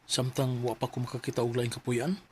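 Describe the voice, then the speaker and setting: A Cebuano actor playing a self-centred, stubborn young boyfriend in a radio drama, with a casual, dismissive manner. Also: male voice, mid-range voice, level tone, brisk, a little mellow, slight background noise, natural voice. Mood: sweet, coaxing. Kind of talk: Filipino radio drama acting.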